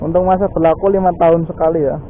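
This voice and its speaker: A man's voice: fairly high pitch, little pitch movement, racing. A man chatting casually while riding a motorbike through city streets, filling his talk with "ya" and "guys". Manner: chatty, lots of "ya" and "guys" fillers. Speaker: motovlog host. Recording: tinny, phone-like with faint traffic noise.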